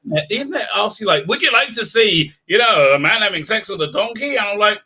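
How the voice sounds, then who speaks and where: A man with a bright, edgy voice pitched a little high hosts a loose conversation. He stumbles over his words and repeats them, then breaks off into jokey asides and quick apologies. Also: animated, slightly rough. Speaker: show host. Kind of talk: casual chat.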